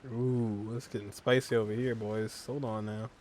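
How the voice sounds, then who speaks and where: A man's voice: lowish voice, little pitch movement, normal speed, a little mellow, slight background noise. Chatty young male game streamer. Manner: chatty, joking asides to viewers. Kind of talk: casual gameplay commentary. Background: faint game music.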